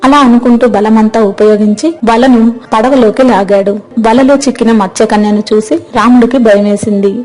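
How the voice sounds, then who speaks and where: A woman's voice: on the high side, fairly even intonation, moderate pace, a little mellow, soft background music, rough recording. A woman narrating a scripted moral story as its storyteller.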